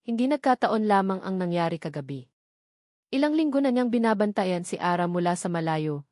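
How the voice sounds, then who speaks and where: A woman's voice: mid-range voice, darkish tone, no room sound, studio quality. A woman narrator reading a scripted romantic drama in storytelling style.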